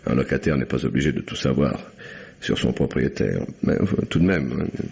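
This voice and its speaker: An actor playing a suave, sly English gentleman in a French radio mystery, speaking with hesitant, drawling, ironic politeness. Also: a bass voice, animated, brisk, creaky, husky, full character voice. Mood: sly, coaxing. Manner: urbane, hesitant, drawling politeness with wry irony. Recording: dull, muffled old radio recording.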